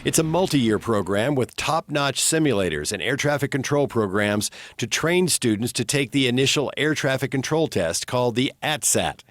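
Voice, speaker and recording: A man's voice: mid-range voice, expressive, normal speed, hardly any pauses, fairly bright tone, dry recording. An American man working as an investigative TV reporter, reading a scripted news report narration.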